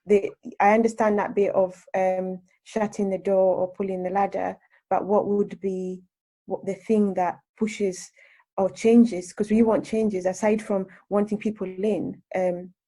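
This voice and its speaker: Woman radio interviewer, soft dark tone, pretty flat pitch, rewords questions as she goes. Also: a little slow. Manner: tentative, rephrases questions mid-sentence.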